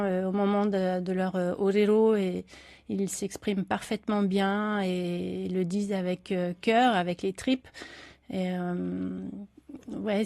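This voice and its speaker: A woman speaks in an unscripted morning interview, pausing often and restarting her sentences as she searches for words. Her voice sits at a middle pitch. The sound is dry and close, with no room around it. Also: vocal fry, darkish tone, dry recording. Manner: hesitant, many fillers and restarts. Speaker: journalist and documentary director, guest.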